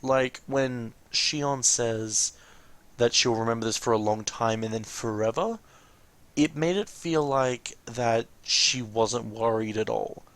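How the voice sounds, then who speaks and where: A man giving casual, rambling game commentary as a let's play host, informal and often correcting himself mid-sentence, with a creaky voice. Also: medium pitch, slow pace, fairly bright tone.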